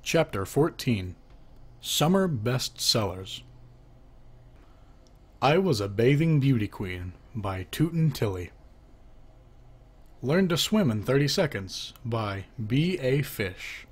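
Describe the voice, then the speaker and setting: A man's voice: mid-range voice, sing-song, takes it slowly, long pauses, breathy edge. A man reading a children's joke book aloud, announcing who speaks before each line and lifting his voice for the questions. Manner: lifts his voice for the asking half of knock-knock jokes.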